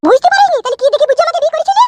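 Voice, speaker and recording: A shrill, scolding cartoon wife, extremely high. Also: animated, racing, background noise. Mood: scornful, furious. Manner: scolding, quarrelsome. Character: shrill, combative young village wife, sharp-tongued and scornful. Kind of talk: Bengali cartoon comedy dialogue.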